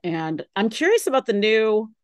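A woman restaurant columnist chatting on a radio interview, full of "like" and "you know" fillers, with an enthusiastic tone whose pitch swings up and down. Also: sing-song, normal speed, no echo. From based in San Francisco area. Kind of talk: casual radio interview chat. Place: radio studio.

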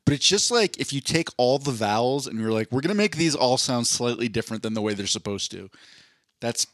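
A man's voice: brisk, no room sound. A man from southeastern Pennsylvania with a slight accent, co-hosting a casual podcast, chatty, with stammered restarts. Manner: chatty, stammering restarts, animated jumps in pitch. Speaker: podcast co-host. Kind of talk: casual chat.

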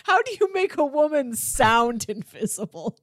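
A woman's voice: sits high, sing-song, normal speed, no echo, studio quality. A woman co-hosting a podcast, chatting casually as she reviews and retells a story. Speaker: podcast co-host.

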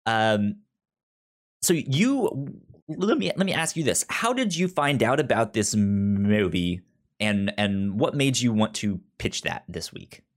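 A man hosting a casual podcast, talking in an animated way with frequent restarts and repeated words. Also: fairly low pitch, slow. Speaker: podcast host. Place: indoors, in a jacket.

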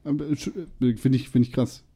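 A young man hosting a podcast, chatting in a relaxed, agreeable way with plenty of filler. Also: mid-range voice, quick pace, breathy edge. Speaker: podcast host. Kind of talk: casual chat.

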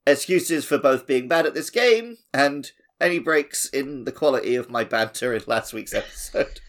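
A man's voice: medium pitch, animated, moderate pace, fairly bright tone. A man co-hosting a podcast in casual chat, telling anecdotes in a jokey, self-deprecating way. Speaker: podcast co-host.

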